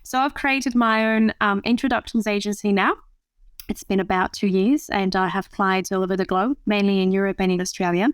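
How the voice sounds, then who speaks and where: A woman's voice: mid-range voice, steady tone, fairly quick, clear, resonant tone, no room sound. A woman in her thirties, a matchmaker guest in a podcast interview, who talks thoughtfully with frequent fillers and restarts. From France. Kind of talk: interview conversation.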